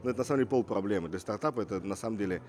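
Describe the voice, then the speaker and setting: A man's voice: on the low side, racing, a little mellow, slight background noise. A man who grew up in Russia, speaking as a guest entrepreneur in an interview, assertive and fond of rhetorical questions. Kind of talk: casual interview talk.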